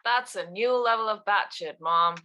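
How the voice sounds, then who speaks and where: A woman's voice: mid-range voice, moderate pace, sharp tone, close to an everyday voice. A woman playing a sarcastic, exasperated grown daughter, dry and incredulous, at a screenplay table read.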